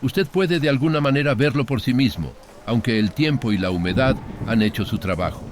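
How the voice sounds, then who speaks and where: A man's voice: medium pitch, brisk, slight background noise. A man narrating a horror story in a dramatic first-person audiobook style.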